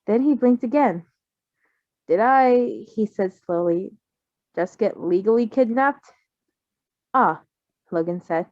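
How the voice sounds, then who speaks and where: A woman's voice: medium pitch, animated, slow, pauses often, dry recording, dull audio. A woman reading a fan fiction story aloud, then chatting casually about it and laughing often.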